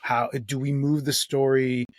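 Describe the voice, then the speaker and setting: A man's voice: medium pitch, level tone, measured pace, no echo. A man talking casually and thoughtfully in an interview as a game master, often repeating phrases for emphasis.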